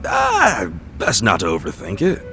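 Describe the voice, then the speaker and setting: A man's voice: on the low side, huge pitch swings, unhurried, vocal fry, pushed and bright, faint music behind, exaggerated voice. An actor playing an easygoing, brash, optimistic man in a video game dub, speaking in slangy, informal dialogue. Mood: breezy, reassuring. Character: easygoing, brash, optimistic street-smart man, a loyal buddy.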